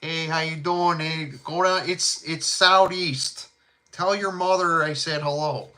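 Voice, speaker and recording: A middle-aged man with a heavy Chicago South Side accent and a bright, forward tone. He rambles in a laid-back, chummy way, dropping fillers and casual slang as he talks about colognes with his viewers. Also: on the high side, fairly even intonation, a little slow. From Chicago, USA. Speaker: fragrance channel host. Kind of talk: casual live chat.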